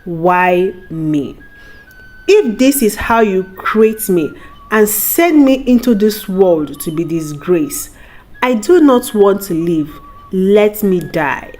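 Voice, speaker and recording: A woman's voice: medium pitch, lively intonation, slow, darkish tone, soft background music. A woman voicing a wronged young village outcast, anguished yet dignified, in a plaintive, solemn folktale delivery. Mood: anguished despair.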